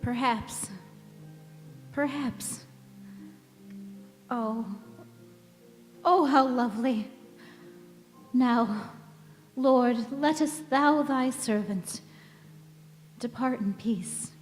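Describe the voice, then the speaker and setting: An actress playing a gentle, devoted elderly wife and mother in a stage radio play, wistful and serenely weary. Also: a little high, drawn-out words, pauses often. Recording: echoey hall, music behind.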